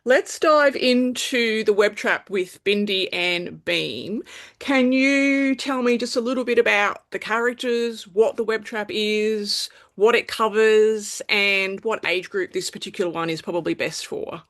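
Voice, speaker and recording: A woman hosting an interview, friendly and brisk as she moves the conversation along. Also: medium pitch, a bit bright. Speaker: interview host.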